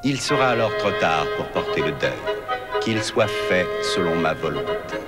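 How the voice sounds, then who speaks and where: An actor playing a grave, commanding king of an ancient city, with solemn regal delivery, in a dubbed animated Bible cartoon. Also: low voice, moderate pace. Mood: solemn decree. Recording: loud music under the voice.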